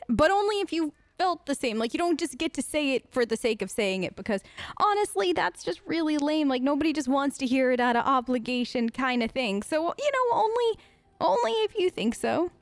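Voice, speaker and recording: A woman improvising in-character role-play chat for a let's play, casual and halting. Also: high voice, animated, dry recording. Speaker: let's player role-playing game dialogue aloud.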